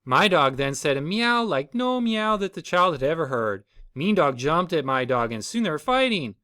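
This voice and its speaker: A man's voice: on the high side, sing-song, moderate pace, bright, forceful tone, no room sound, clean studio sound. A man reading a children's storybook aloud as the storyteller.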